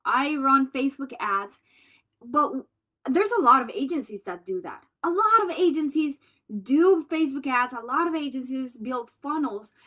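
A woman with a Colombian accent hosting a solo business podcast, bubbly and loud. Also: high-pitched, expressive. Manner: bubbly, loud and fast-talking. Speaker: podcast host.

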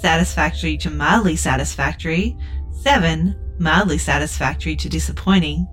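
A woman's voice: deep voice, normal speed, soft background music, natural voice. A woman playing a relentlessly cheerful customer-service AI in a science fiction audio drama, with scripted, over-polite corporate patter. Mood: eager, officious. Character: relentlessly upbeat customer-service AI of a budget spaceliner.

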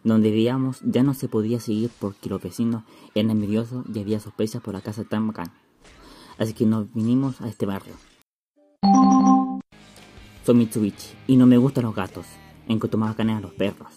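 A man's voice: lowish voice, mellow, close to an everyday voice. A Chilean man playing a stubborn, menacing, slangy schoolboy in a radio play, speaking bluntly. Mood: defensive, boastful. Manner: colloquial, blunt.

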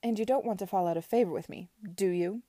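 Brief: woman reading haughty older lady aristocrat, curt, condescending, mellow tone. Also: mid-range voice, fast talker, no echo, natural voice. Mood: imperious.

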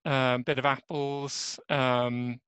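A man's voice: medium pitch, little pitch movement, takes it slowly, fairly bright tone, smooth, no room sound. A man with an English accent, a podcast guest chatting casually and hesitantly with many ums. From England. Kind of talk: casual interview chat.

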